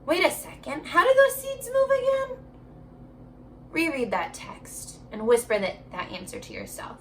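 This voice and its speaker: A woman teaches young children in a high, very lively voice. She takes her time, reading and prompting with encouraging questions as if each pupil were right there. A little background noise sits behind her. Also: measured pace. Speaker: elementary school teacher. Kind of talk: children's remote reading lesson, alternating slow read-aloud and chatty prompts.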